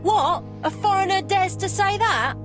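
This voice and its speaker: A woman's voice: very high voice, measured pace, a bit bright, faint music behind. An actress playing a blunt, defensive working-class woman fruit seller in a video game. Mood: indignant. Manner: blunt, colloquial.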